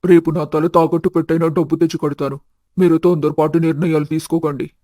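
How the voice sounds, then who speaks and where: A man voicing a poor, humble elderly debtor in an animated moral story, with a deferential manner. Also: a little high, fast, dry recording, clean studio sound, natural voice. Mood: pleading.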